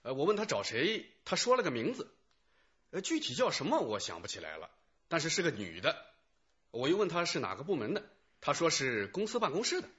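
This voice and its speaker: A man's voice: fairly high pitch, huge pitch swings, brisk, a bit bright. An actor playing a helpful company security guard in a radio drama, hesitant and colloquial as he recounts what he remembers. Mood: eager recollection. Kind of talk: Chinese radio drama acting. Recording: muffled band-limited radio drama audio.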